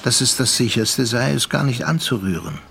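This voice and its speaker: An actor playing a reflective sea captain, narrating a literary radio drama in a measured way. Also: male voice, mid-range voice, expressive, vocal fry, fairly bright tone. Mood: measured recounting. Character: reflective seafaring captain recounting a past affair.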